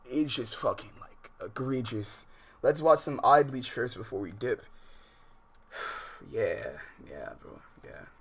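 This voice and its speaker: A man's voice: medium pitch, lively intonation, slow pace, breathy edge. An American man hosting a game livestream, switching between slangy, profane, exasperated chat and reading visual novel text aloud. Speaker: livestream host. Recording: music behind the voice.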